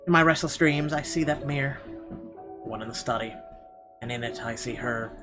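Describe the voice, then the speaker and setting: A man's voice: medium pitch, moderate pace. A man hosting a gaming video, reading visual novel narration dramatically and breaking into irreverent, sweary asides. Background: game music.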